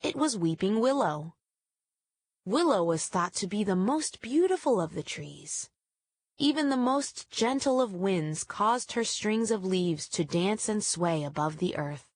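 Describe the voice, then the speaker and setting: A woman's voice: medium pitch, lively intonation, measured pace, dry recording. Woman reading a children's story, dry sound. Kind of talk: scripted children's story read-aloud.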